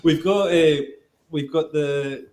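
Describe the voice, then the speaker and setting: A man chatting casually in a halting, good-humoured way, starting over as he goes. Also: on the high side, darkish tone. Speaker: distillery co-founder. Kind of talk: casual chat.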